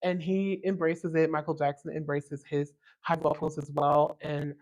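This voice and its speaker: A young man with a very high tenor voice, hosting a casual podcast chat in an eager, enthusiastic way. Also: flat delivery, a little mellow, low-quality recording. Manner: eager, enthusiastic, quick to agree. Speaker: podcast host.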